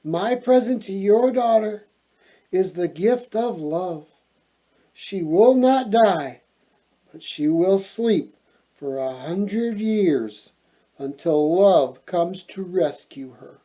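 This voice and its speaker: A man puts on a tiny storybook fairy, a kindly little female creature. The small voice is high and gentle, speaking slowly and earnestly. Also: animated, full character voice. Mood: gentle, hopeful. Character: tiny, kind, youngest-sized female fairy.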